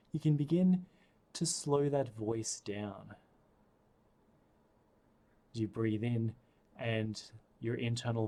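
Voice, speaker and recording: A man guiding a breathing meditation, speaking softly and soothingly with long pauses. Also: medium pitch. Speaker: meditation guide.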